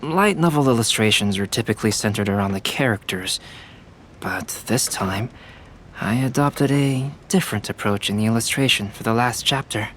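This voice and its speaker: A man playing a calm, reserved young scholar in a video game, speaking in a measured, formal, thoughtful way. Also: fairly low pitch, a little hiss or hum, natural voice. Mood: modest, uneasy. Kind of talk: video game character voice acting.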